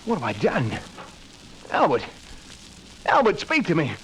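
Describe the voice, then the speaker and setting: A man's voice: a little high, huge pitch swings, unhurried, fairly bright tone, a little hiss or hum, exaggerated voice, low-quality recording. A laborer bewitched by a cursed knife. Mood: panicked. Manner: brooding, then frantic outbursts. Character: working-class man driven to murder by a cursed knife. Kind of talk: 1940s radio acting.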